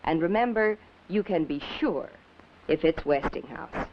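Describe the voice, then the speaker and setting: A woman's voice: lowish voice, expressive, measured pace. A woman presenting a scripted 1950s television commercial in a polished, enthusiastic way. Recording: muffled vintage television audio with hiss.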